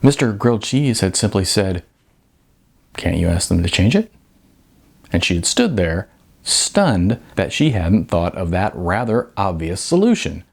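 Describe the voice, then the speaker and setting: A man reading his own novel as an audiobook narrator, in an expressive, conversational way with some hesitations. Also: low voice, a little slow, gravelly, husky.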